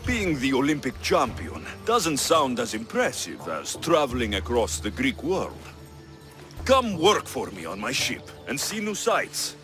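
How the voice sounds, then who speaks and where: An actor voicing a bold, wry, commanding Greek mercenary captain in a video game. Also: male voice, medium pitch, huge pitch swings, a little slow, music in the background. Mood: wry, flirtatious. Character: bold, flirtatious, stern male Greek mercenary ship captain. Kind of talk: video game character acting.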